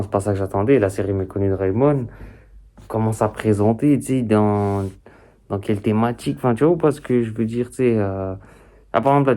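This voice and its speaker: A man's voice: on the low side, warm tone. A young man giving casual, rambling reaction commentary in slangy, repetitive phrases. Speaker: reaction video host.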